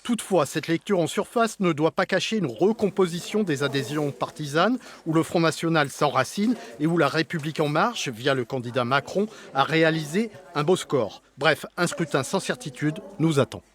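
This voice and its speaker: Man doing a news report voiceover, even read-out delivery, a bit high. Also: moderate pace, hardly any pauses. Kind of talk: scripted news report voice-over.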